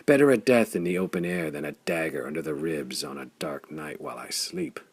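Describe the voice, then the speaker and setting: A man voicing a defiant, grim swordsman hero with a rasp and bitter humor in a pulp adventure audiobook. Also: extremely low pitch, expressive, brisk, no room sound, exaggerated voice. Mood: scornful. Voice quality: rasping. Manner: mirthless, scornful, declaiming.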